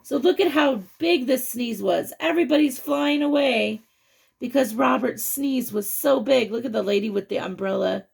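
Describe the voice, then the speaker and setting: A woman reading a picture book aloud to children, with chatty, expressive asides and questions to the young listener. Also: a little high, moderate pace, vocal fry, husky.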